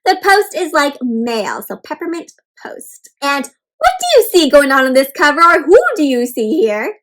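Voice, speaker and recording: A woman's voice: high-pitched, expressive, moderate pace, a bit bright. A woman hosting an interactive storybook read-aloud for kids, asking questions and explaining words simply. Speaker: children's read-aloud host, teacher.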